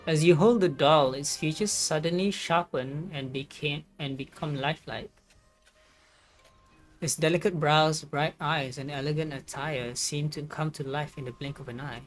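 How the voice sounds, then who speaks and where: A woman streaming a story game and chatting casually as she goes. She stumbles and repeats words in an amused way, with game music clearly playing behind her voice. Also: deep voice, fairly even intonation, moderate pace, rough recording. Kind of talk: casual gameplay commentary and reading aloud.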